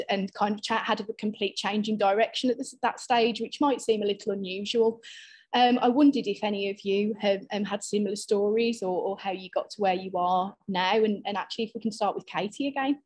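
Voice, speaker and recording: A woman's voice: mid-range voice, low-quality recording. A middle-aged woman hosting a panel discussion over a video call, talking with many fillers and restarts. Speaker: panel host.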